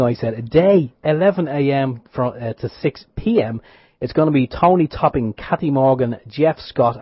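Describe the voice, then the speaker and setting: Male radio co-host at a mid pitch, casual and good-humoured, dull dry lo-fi studio sound. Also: no echo. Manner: self-correcting, good-humoured, running through details. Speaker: radio show co-host and producer. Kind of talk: casual radio chat, reading announcements.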